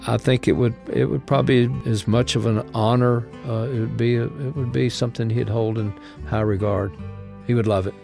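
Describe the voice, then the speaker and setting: A man's voice: mid-range voice, fairly even intonation, moderate pace, creaky. A man, talking reflectively as an interview guest. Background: soft guitar music.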